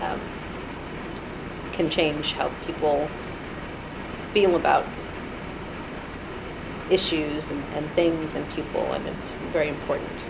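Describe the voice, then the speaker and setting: A woman's voice: sits low, fairly even intonation, slow pace, vocal fry, long pauses, noisy background. A woman in her thirties being interviewed at home, speaking hesitantly with many fillers and restarts. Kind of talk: reflective interview. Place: apartment.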